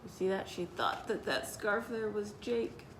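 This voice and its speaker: A woman's voice: mid-range voice, measured pace, noisy background. A woman speaking as a children's librarian, hosting story time with announcements and read-aloud for young listeners.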